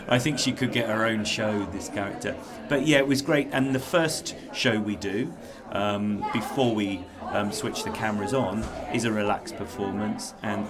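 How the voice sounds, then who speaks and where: A man working as a children's presenter and director, chatting casually in an interview with an enthusiastic, rambling, self-deprecating manner. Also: fairly low pitch.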